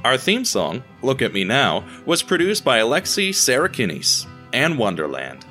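Bright-voiced man narrating, pitch swinging widely. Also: wildly swooping pitch, normal speed. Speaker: narrator of an audio drama. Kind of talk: scripted storytelling narration. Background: music.

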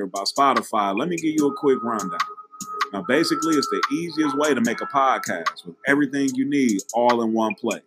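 A male host reads a sponsor spot in a casual, conversational way full of slang. His pitch is average, and music is clearly audible behind him. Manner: informal, slangy.

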